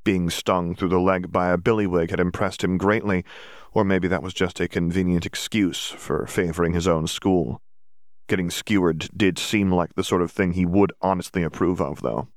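A man's voice: low-pitched, steady tone, normal speed, dry recording, clean studio sound. A man reading a fantasy story aloud as scripted audiobook narration.

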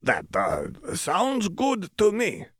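A man voicing a timid, frightened female shark-like creature in an audiobook, halting and stammering. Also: sits high, huge pitch swings, fairly bright tone, breathy edge, dry recording, studio quality, pitched above the performer's own voice, full character voice. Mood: meek. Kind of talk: audiobook character voice.

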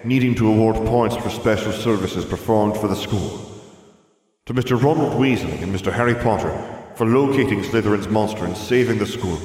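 A man's voice: lowish voice, heavy reverb, in a large hall, far from the mic, pitched above the performer's own voice, close to an everyday voice. A man voicing a weary old wizard headmaster with a formal, reluctant manner in a storybook reading.

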